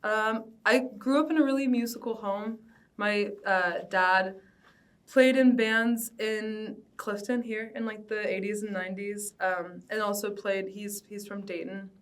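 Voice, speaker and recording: A woman's voice: medium pitch, steady tone, a little slow, recorded from a distance. A young American woman speaking casually in an interview, loose and informal, with "like" sprinkled through her sentences. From Cincinnati, United States. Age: young adult. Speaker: band vocalist being interviewed. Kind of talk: casual conversational oral history.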